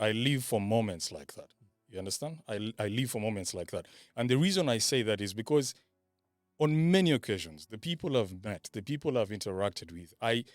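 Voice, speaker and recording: A man's voice: mid-range voice, no echo, studio quality. A man giving casual motivational talk on a podcast panel, emphatic and repeating phrases for effect.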